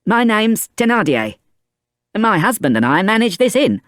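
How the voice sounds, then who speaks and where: A man's voice: high-pitched, big pitch swings, moderate pace, a bit bright, no echo, clean studio sound, voice pushed up. A man putting on a hearty woman innkeeper. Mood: friendly. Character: Madame Thénardier, big hearty woman innkeeper.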